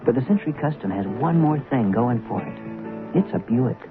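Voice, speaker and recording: A man's voice: medium pitch, lively intonation, moderate pace. An American man reading a 1970s radio car commercial in a conversational, persuasive way. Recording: tinny old radio broadcast, music behind.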